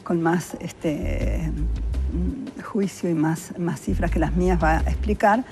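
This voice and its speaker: Female interview guest, Uruguayan, health official. Fairly low voice, talks informally and explanatorily over room noise. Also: slow pace, dark tone. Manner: conversational, illustrates points with imagined quotes. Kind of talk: spontaneous explanatory interview talk.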